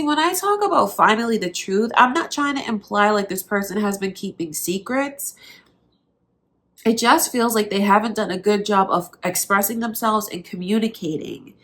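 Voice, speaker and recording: A woman's voice: mid-range voice, moderate pace, fairly bright tone. A woman with a blocked, nasal voice from allergies, giving a tarot reading straight to her viewers in an earnest, reassuring way. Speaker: tarot reader.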